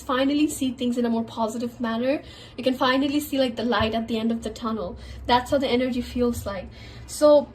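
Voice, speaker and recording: High-voiced nasal woman, a bit sick. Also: darkish tone, slight background noise. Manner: chatty, heavy on filler words. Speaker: tarot reader. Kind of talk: casual tarot reading to camera.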